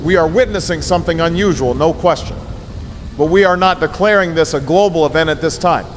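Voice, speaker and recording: A man playing a grave, resolute president giving a measured, formal emergency address in an audio drama, with solemn reassurance. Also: on the high side, background noise, close to an everyday voice. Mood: measured, firm. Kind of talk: formal press conference address.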